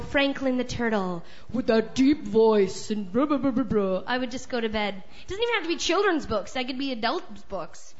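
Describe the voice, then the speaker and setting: A woman's voice: high-pitched, fairly bright tone. A woman guest-hosting an open mic, joking and rambling between acts in a chatty, self-mocking way. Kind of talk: casual joking stage banter. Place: small live venue on stage. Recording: dull lo-fi live recording.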